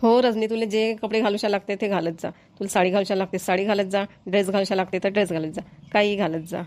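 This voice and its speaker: A woman's voice: medium pitch, fairly even intonation, fast talker, a little mellow, natural voice. A woman voicing a kindly, grateful elderly village mother-in-law with a Varhadi Marathi accent in an amateur cartoon comedy. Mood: fond, grateful.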